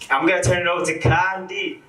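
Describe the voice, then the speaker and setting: Young man, higher-pitched, chatty storyteller, distant mic in an echoey hall. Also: level tone, fast, fairly bright tone. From Lowell, Massachusetts. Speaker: event speaker, teacher. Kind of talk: casual speech to an audience. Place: event hall.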